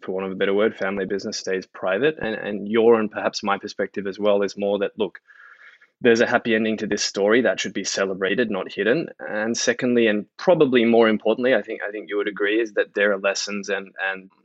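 A man's voice: fairly low pitch, steady tone, quick pace, no room sound, lo-fi sound. A man in his thirties with an Australian accent, talking casually and wryly as a podcast guest. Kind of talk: casual interview conversation.

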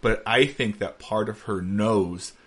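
A man's voice: slow pace, husky. A man in his thirties hosting a podcast, chatting casually and acting out quoted lines as he tells stories. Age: 30s. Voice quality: deep voice with a beard. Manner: animated storyteller who acts out quotes. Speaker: podcast host. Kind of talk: casual chat.

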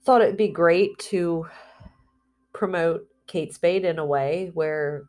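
A woman with a fairly low voice talks into her own vlog in a candid, confessional way. She rambles through restarts and fillers, and slips soft laughs between her phrases. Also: takes it slowly. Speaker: spiritual vlogger. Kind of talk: candid personal vlog talk.

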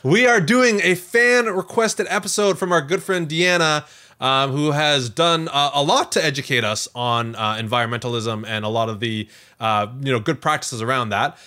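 A man's voice: fairly high pitch, moderate pace, pushed and bright. A man co-hosting a podcast in casual, jokey, self-deprecating chat. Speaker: podcast co-host.

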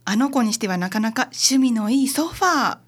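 A woman's voice: a little high, lively intonation, fairly quick, slightly rough. A woman playing a refined, self-assured mother in a radio drama, speaking in a polite, ladylike manner. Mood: gracious.

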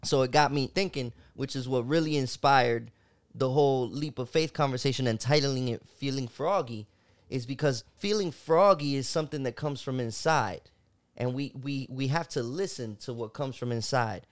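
A man's voice: medium pitch, moderate pace. A man hosting a podcast, talking in a casual, slangy and reflective way. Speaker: podcast host. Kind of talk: casual conversational podcast talk.

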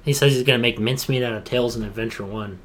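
A young man co-hosting a podcast in casual banter about video games, talking in slangy, excitable fan style. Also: medium pitch, quick pace, crowd noise. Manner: slangy, excitable fan talk with mimicked quotes. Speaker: podcast co-host.

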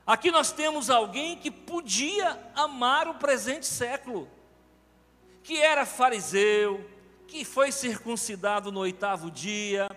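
A man's voice: extremely high pitch, lively intonation, takes it slowly, pushed and bright. A man with a Brazilian Portuguese accent, preaching an emphatic sermon as pastor to his congregation in a large church. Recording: reverberant church hall with faint music.

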